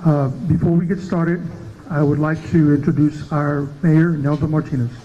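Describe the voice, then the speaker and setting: A man with a fairly high, very soft-toned voice welcomes people to a public meeting as a police official. His delivery is formal and hesitant, with frequent pauses. The recording is slightly dull with faint noise. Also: steady tone. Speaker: assistant police chief opening a meeting. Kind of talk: formal welcome address at town hall. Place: school hall, public meeting.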